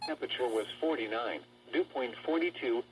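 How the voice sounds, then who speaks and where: A man's voice: medium pitch, brisk, poor audio. A synthetic-sounding man's voice reading an automated weather bulletin through a radio speaker.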